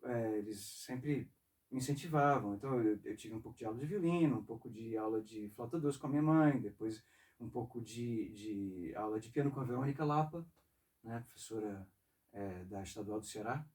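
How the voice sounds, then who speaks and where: A man in his forties from northeastern Brazil, an interview guest talking casually and reflectively, in a halting way. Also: fairly low pitch, a little mellow, far from the mic. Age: 40s. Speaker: interview guest, saxophonist and composer. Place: music studio.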